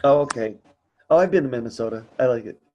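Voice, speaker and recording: A man's voice: medium pitch, expressive, fast talker, darkish tone, lo-fi sound. A man speaking casually as host of a support group conference call, earnest, encouraging and a bit rambling.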